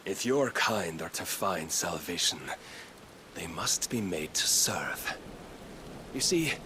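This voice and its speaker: An actor playing a fanatical, grandiose villain king who preaches salvation in deliberate, archaic oratory for a fantasy game cutscene. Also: fairly low pitch, fairly bright tone, slight background noise.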